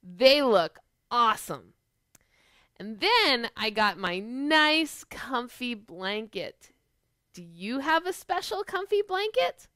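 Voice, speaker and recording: A middle-aged woman, a children's pastor, hosting an animated storytime for preschoolers and speaking to them with questions. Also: high voice, no room sound, studio quality. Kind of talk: storytime for preschoolers, chat and picture-book reading. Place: small living room at home, on a couch.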